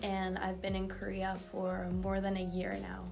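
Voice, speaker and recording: A woman's voice: medium pitch, completely flat delivery, normal speed, creaky. A young woman from Argentina, a university student talking upbeat through her year to camera. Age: young adult. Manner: upbeat, talks through her months in turn. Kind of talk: interview to camera. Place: indoor university building lobby. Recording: music playing under the voice.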